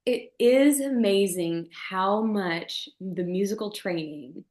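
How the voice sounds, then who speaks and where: A woman who coaches voice and charisma, speaking as a podcast guest with an expressive delivery that swings her pitch and loudness for emphasis. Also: slow. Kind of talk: podcast interview. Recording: roomy echo.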